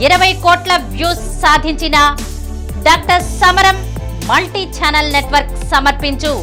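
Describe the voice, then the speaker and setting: A woman's voice: sits high, pushed and bright. A woman delivering a scripted promotional announcement for a news channel. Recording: voice over faint background music. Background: faint music.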